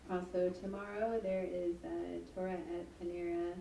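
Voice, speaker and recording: A woman's voice: fairly low pitch, flat delivery, normal speed, creaky, warm tone. A woman leading a congregation, giving announcements and a closing prayer in an earnest way with many ums. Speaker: service leader. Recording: echoey room, far from mic, faint hiss.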